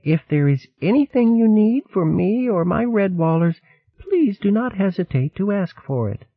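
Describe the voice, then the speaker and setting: A frail, gentle old mouse abbess voiced by a man, high and thin, soft and a little distant. Her dignified, kindly voice lilts up and down. The sound is dry and thin, like a narrow band-limited recording. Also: sing-song, normal speed, no room sound, exaggerated voice.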